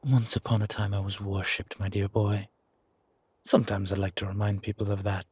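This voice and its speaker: A man's voice: on the low side, completely flat delivery, moderate pace, darkish tone, husky, no room sound. A man voicing an imperious, scolding yet protective ancient deity in a fantasy audio roleplay, commanding and teasing. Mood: haughty, scolding.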